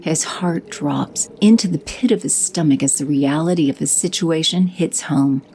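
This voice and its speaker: A woman giving steady scripted narration for an audio drama. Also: low-pitched, normal speed, slight background noise. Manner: steady, descriptive.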